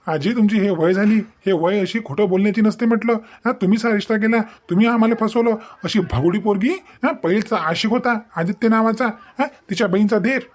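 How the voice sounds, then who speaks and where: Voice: mid-range voice, fairly quick, hardly any pauses, natural voice. An actor playing an indignant, bitter young groom in a cartoon family melodrama, accusing and dramatic, with a Vidarbha accent.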